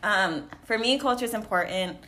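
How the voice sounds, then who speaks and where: A woman's voice: mid-range voice, normal speed, pushed and bright, a little hiss or hum. A young American woman answering interview questions about herself in a casual, reflective way, peppering her talk with "like". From United States, Los Angeles. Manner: reflective, informal, frequent "like".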